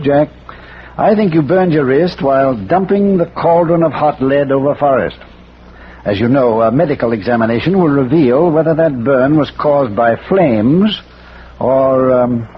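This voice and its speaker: A noisy, muffled vintage radio recording carries a man acting a bookish gentleman detective. He speaks at a medium pitch, courteous and hesitant, probing politely like a learned amateur sleuth in a 1940s mystery. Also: moderate pace, lightly acted. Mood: explaining. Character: erudite, courteous amateur sleuth who quotes Shakespeare.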